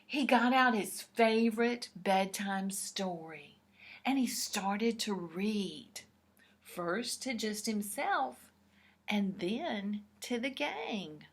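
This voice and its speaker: A woman reading a picture book aloud to young children in an expressive, deliberate, explanatory way. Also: medium pitch, breathy edge.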